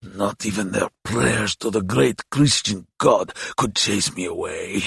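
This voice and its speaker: A man voicing a frail, dying old demon for an audiobook, proud and menacing, with a croaking, hissing, breathy voice and slow, grandiose delivery. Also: lowish voice, a bit bright, dry recording, studio quality, exaggerated voice. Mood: menacing, demanding. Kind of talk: dramatic audiobook character voice.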